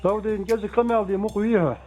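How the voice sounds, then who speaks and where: A man's voice: sits high, steady tone, brisk, dark tone. A man speaking natively, talking informally and argumentatively as a phone caller asking questions. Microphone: telephone. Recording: tinny phone line, music behind. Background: music.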